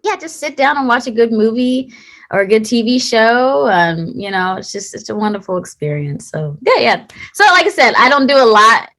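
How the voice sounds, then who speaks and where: A woman in her late twenties from Texas, an actor being interviewed, talking in a chatty, lively way and laughing often. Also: a little high, moderate pace. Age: late 20s. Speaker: actor guest. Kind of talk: casual interview chat.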